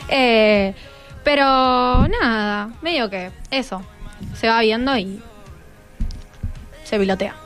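A woman's voice: on the high side, slow pace, long pauses, fairly bright tone, faint music behind. A teenage girl with a Rioplatense Argentine accent, chatting casually and in slang as a student guest.

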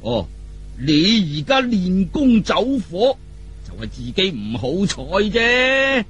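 A man's voice: sits high, very animated, fairly bright tone, breathy edge, faint music behind, exaggerated voice. A man voicing a boastful, contrary old martial artist with a heavy stutter, blustering and drawing out his words in a storybook telling. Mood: gloating. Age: older. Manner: blustering, drawn-out delivery.

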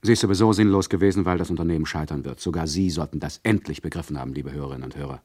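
A man's voice: a bass voice, racing, darkish tone, husky. A man acting as a sardonic, condescending radio play narrator, addressing his listeners with mock patience. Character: condescending, chatty male narrator who mocks his listeners.

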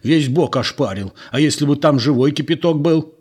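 A man's voice: on the high side, normal speed, darkish tone, slightly rough, pitched above the performer's own voice. Man as irritated countryman, blunt, sarcastic, scolding, audiobook character. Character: gruff, exasperated village man, mocking and scolding.

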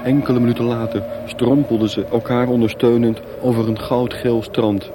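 A man's voice: medium pitch, flat delivery, normal speed, background noise. A man narrating a radio play as scripted, dramatic storytelling. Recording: music bed behind. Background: music.

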